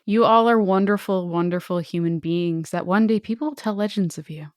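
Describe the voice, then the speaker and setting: A woman podcast co-host speaks in a lowish voice, close to the mic with very little room sound. She is conversational and enthusiastic, as if recommending things in a casual chat. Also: fairly quick, darkish tone, dry recording. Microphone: close podcast microphone.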